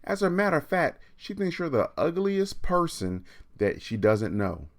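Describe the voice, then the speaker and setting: A man hosting a livestreamed storytime, chatting informally and reading a children's book aloud with animated, encouraging delivery. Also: on the high side, measured pace.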